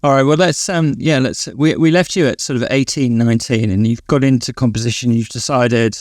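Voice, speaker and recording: A man's voice: mid-range voice, fairly even intonation, fairly quick, a little mellow, dry recording. A man with a British accent, asking questions conversationally as a podcast host. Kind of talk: casual conversational interviewing.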